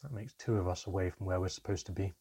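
A man's voice: a little high, sing-song, normal speed, creaky, mellow, breathy edge, voice pushed up, exaggerated voice. A man voicing a naive young goblin from a storybook, curious and earnest, with an eager, emotional way of speaking. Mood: amused, wistful.

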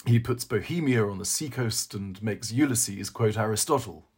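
A man reading a dry, challenging literary critic in a novel, pointed and superior, with a husky voice. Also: lowish voice, normal speed.

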